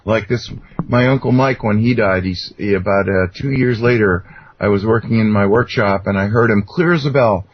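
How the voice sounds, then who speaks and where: A middle-aged or older man with a husky voice, telling personal stories in an earnest, rambling, explanatory way as an interview guest. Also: fairly low pitch, normal speed, dull audio. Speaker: interview guest, self-described contactee. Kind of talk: conversational personal storytelling.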